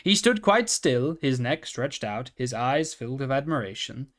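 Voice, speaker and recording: Calm man reading a children's story. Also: mid-range voice, moderate pace. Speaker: reader. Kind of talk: children's story read aloud.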